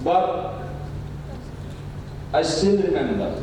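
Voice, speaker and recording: An older man, a native Indonesian speaker, giving a closing speech as a professor, pausing often with "uh". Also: fairly high pitch. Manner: halting, with frequent "uh" pauses. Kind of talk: speech at a lecture podium. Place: university lecture hall. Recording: echoey hall from far off, noisy.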